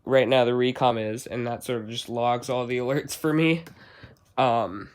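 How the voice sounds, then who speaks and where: A man giving a casual, somewhat halting hands-on product demonstration, explaining step by step. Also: medium pitch. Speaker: hobbyist product reviewer.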